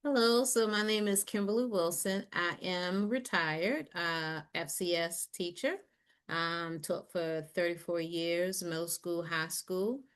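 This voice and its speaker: A retired woman teacher introducing herself softly on a call. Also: lowish voice, takes it slowly, clean tone.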